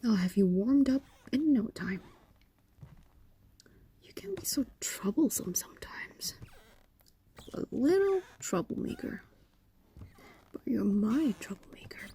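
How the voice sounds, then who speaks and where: A woman's voice: high voice, big pitch swings, slow pace, long pauses, mellow, full character voice. A woman voicing an ancient, possessive and doting fox demon in an intimate roleplay audio drama, coaxing and condescending, often slipping into whispers. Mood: fond, teasing.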